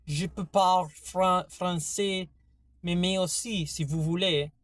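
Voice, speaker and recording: A man with an Italian accent talking to his viewers in a casual monologue, halting often with fillers and restarts. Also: high voice, steady tone, dry recording. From Italy. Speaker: vlogger. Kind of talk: casual opinion monologue to camera.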